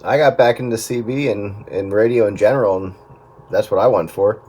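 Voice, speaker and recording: Lower-pitched middle-aged man chatting. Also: a little fast, dark tone, a little hiss or hum. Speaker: radio hobbyist on a group call. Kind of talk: casual chat.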